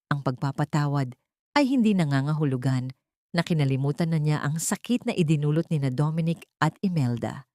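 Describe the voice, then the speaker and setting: Low, mellow female storyteller. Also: no room sound, studio quality. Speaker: storyteller, narrator. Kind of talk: scripted narration of a sentimental audio drama story.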